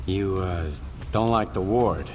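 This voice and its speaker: Guarded male lone stalker, game cutscene. Low register, blunt lines, loud ambient noise. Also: takes it slowly. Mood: probing. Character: guarded, embittered lone stalker seeking payback. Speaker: video game protagonist. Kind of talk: terse video game dialogue.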